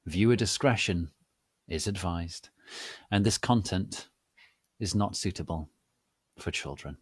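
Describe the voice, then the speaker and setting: A man hosting a dramatic reading, theatrical and mock-solemn, speaking deliberately with pauses. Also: low voice, breathy edge, no room sound, clean studio sound. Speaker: host and reader.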